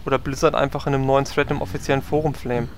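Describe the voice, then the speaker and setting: A man's voice: medium pitch, flat delivery, racing, a little hiss or hum, lightly acted. A man playing an arrogant, bored elite hunter player who grumbles dismissively in a comedic audio drama.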